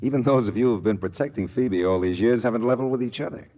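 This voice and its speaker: An actor playing a shrewd, world-weary private detective in mid-century American radio style, with a wry, hard-boiled, knowing manner and an American accent. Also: male voice, mid-range voice, fast talker, warm tone, natural voice. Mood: frank, shrewd. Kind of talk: 1940s-50s American radio detective acting. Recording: vintage radio drama recording with music.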